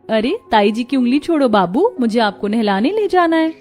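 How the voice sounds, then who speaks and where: A woman voicing a gentle, polite, dutiful young mother in a cartoon family drama. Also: on the high side, very animated, moderate pace. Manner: polite, respectful.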